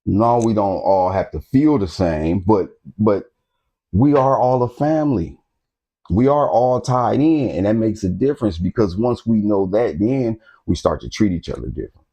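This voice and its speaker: Host type, male, low mellow voice, preachy street-talk lecturer who keeps checking the audience follows. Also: lively intonation, normal speed. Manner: street-talking preacher, repeats phrases, keeps asking "you understand what I'm saying". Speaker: host of a history talk channel. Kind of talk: casual lecture to camera.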